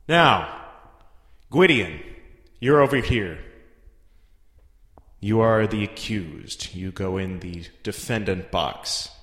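A man playing a bossy, matter-of-fact judge of a makeshift court, talking casually and haltingly. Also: on the low side, fairly bright tone. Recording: echoey hall.

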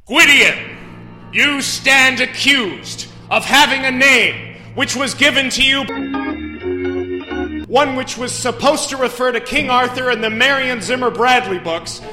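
A man's voice: measured pace, pushed and bright, exaggerated voice. A man playing a pompous, thundering accuser and self-proclaimed elder in a theatrical mock trial. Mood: stern accusing. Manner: booming, pompous, declamatory. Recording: echoey hall with music behind.

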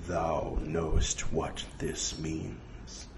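A man's voice: a bass voice, flat delivery, drawn-out words, gravelly, a little mellow, voice pushed down, heavily acted. A man voicing a scheming sergeant who poses as a divine voice, with ominous, pompous, archaic speech in a story. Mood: solemn, leading.

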